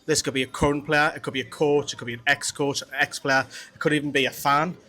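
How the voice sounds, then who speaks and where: A man's voice: a little high, steady tone, fast, bright, forceful tone. A man hosting a casual football podcast, chatty and rambling with frequent restarts. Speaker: podcast host. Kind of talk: casual chat.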